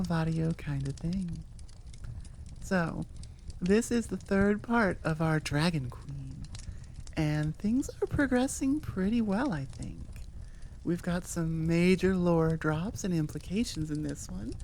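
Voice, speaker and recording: A woman's voice: lowish voice, a little mellow, slight background noise. A woman creator chatting casually in an outro about her story, rambling and loose with many fillers.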